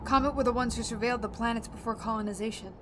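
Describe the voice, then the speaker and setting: Woman acting as tough blunt space captain, war vet, sci-fi audio drama, highish voice, noisy background. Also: steady tone, rapid-fire, close to an everyday voice. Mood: uneasy, insistent. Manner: blunt, hard-edged. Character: hardened, vengeful female war-veteran space captain, blunt and defiant.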